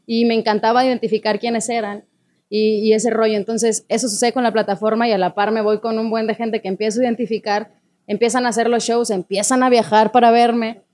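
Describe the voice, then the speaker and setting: A woman's voice: on the high side, little pitch movement, fairly quick, darkish tone. A young woman with a Mexican accent, a singer telling her story as a guest in a casual interview, full of fillers. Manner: chatty storytelling, many fillers like "o sea" and "¿no?". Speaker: singer and online creator, guest.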